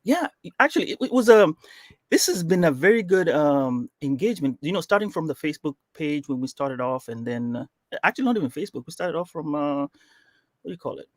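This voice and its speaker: A man's voice: fairly high pitch, animated, fairly quick, no echo. A man from Kenya whose first language is Swahili, a guest on a podcast, telling his story casually with lots of fillers and restarts. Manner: rambling, with frequent fillers and restarts. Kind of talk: casual interview chat.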